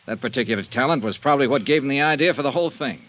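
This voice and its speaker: An actor playing a cynical, world-weary insurance investigator with an American accent in an old-time radio detective drama. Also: male voice, mid-range voice, very fast, fairly bright tone, dry recording, natural voice. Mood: accusing, sardonic. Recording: vintage radio recording, faint music.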